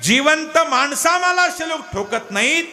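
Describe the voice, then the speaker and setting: Preacher playing a shrill, stubborn, astonished pilgrim. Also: male voice, animated, moderate pace, bright, forceful tone, heavily acted. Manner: insistent, questioning, exclamatory. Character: astonished, stubborn male pilgrims questioning a saint.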